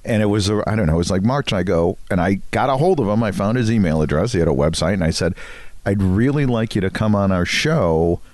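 Lively low-voiced man chatting on a podcast. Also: fast, no room sound. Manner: jokey, self-deprecating. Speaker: podcast co-host. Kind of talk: casual anecdotal chat.

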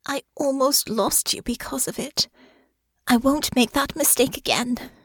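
A woman's voice: high-pitched, a little slow, fairly bright tone, breathy edge, dry recording, studio quality, pitched above the performer's own voice, exaggerated voice. A woman voicing an earnest, well-spoken young woman with formal, polite phrasing and open feeling. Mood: earnest, rueful.

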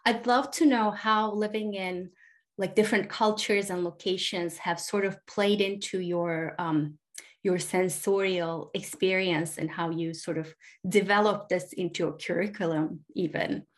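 A woman's voice: on the low side, fairly even intonation, unhurried. A woman from Turkey hosting an interview, asking long, curious questions while searching for her words. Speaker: podcast host.

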